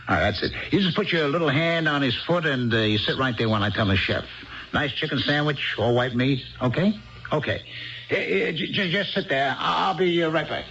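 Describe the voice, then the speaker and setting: A man's voice: fairly high pitch, a little fast, fairly bright tone. An actor playing a kindly, fussing barman in a 1970s radio drama, coaxing and stammering, repeating himself. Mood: coaxing, flustered. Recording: dull, band-limited old radio broadcast.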